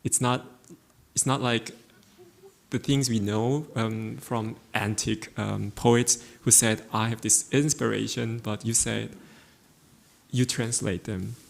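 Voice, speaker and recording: A man's voice: on the low side, fairly even intonation, takes it slowly, vocal fry. A man moderating a literary conversation, asking questions in a polite, earnest way.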